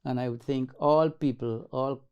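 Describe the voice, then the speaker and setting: An older man answers interview questions in a measured, deliberate way. His voice is gravelly and warm, sitting at an ordinary pitch for a man. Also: steady tone, highs cut off. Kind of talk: documentary interview.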